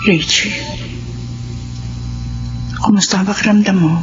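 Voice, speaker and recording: A woman's voice: mid-range voice, steady tone, measured pace, natural voice. An actress playing a betrayed mother in a radio drama, pleading yet firm. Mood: gentle. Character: adult mother, betrayed wife, firm and sorrowful. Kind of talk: Filipino radio drama acting. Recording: muffled radio drama with music underneath.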